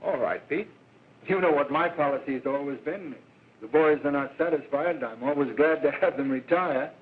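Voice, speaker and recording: A man's voice: a little high, normal speed, phone-quality sound, close to an everyday voice. Casting: male actor as cool, menacing racket boss, clipped and slangy, old noisy film soundtrack. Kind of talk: 1930s film acting.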